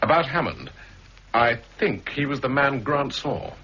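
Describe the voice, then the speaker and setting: A man's voice: mid-range voice, very animated, measured pace, pushed and bright, husky, full character voice. A man with a British accent playing a dutiful, coolly defiant army captain in a 1960s radio drama, firm, terse and formally polite. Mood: respectful. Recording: muffled, hissy 1960s radio recording.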